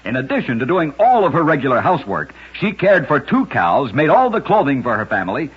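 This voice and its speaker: Husky man narrating vintage radio oddities. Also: on the high side, very animated, fairly quick. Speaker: radio program host and narrator. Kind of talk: scripted dramatic narration of short oddity stories, vintage radio. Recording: dull, lo-fi vintage radio recording.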